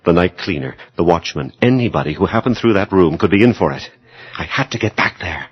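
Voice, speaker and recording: Anxious male scientist, played by an actor, urgent and deliberate radio-drama delivery, widely swinging pitch, dull lo-fi sound. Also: lively intonation, husky, dry recording. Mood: brooding. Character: anxious male scientist doctor, narrating and pleading. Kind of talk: radio drama acting with first-person narration. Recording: dull, noisy lo-fi audio drama recording.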